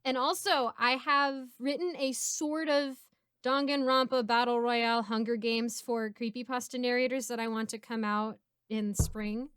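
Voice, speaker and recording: A woman's voice: a little high, steady tone, normal speed, a bit bright, clear, resonant tone, no echo, studio quality. A giggly, chatty woman with an American accent, casually answering fan questions as host. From United States. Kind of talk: casual Q&A chat.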